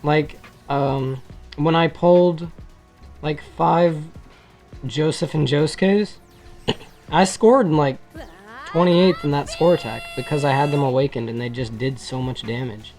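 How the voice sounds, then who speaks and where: Gaming creator, mid-pitched voice, informal and rambling showcase commentary, music underneath. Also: measured pace, darkish tone. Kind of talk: casual gameplay commentary.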